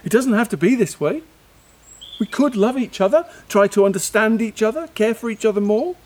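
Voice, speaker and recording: A man's voice: high voice, lively intonation, moderate pace, darkish tone. An actor playing a disillusioned middle-aged father, lamenting earnestly in a naturalistic audio play. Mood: impassioned. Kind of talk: naturalistic audio drama monologue.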